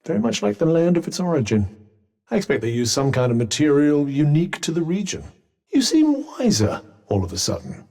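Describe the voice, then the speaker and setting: A man's voice: a little high, huge pitch swings, fairly quick, vocal fry, dark tone, exaggerated voice. A man with a British accent voicing a haughty, sardonic magical cuff in a video game, speaking with dry, articulate understatement. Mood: dry, wry. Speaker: voice actor. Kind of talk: video game companion dialogue.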